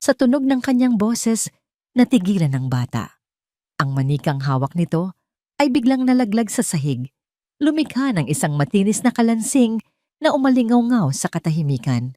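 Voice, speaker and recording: A woman reading a scripted dramatic story as narrator, in a measured, suspenseful way. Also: medium pitch, sing-song, dark tone, no echo, studio quality. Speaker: narrator of audio drama stories.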